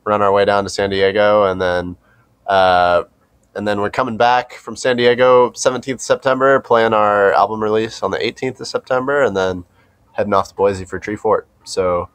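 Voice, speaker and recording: A man's voice: fairly low pitch, fairly even intonation, moderate pace, clear, resonant tone, no echo. An American man, a band member, chatting casually as an interview guest. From Oregon, United States.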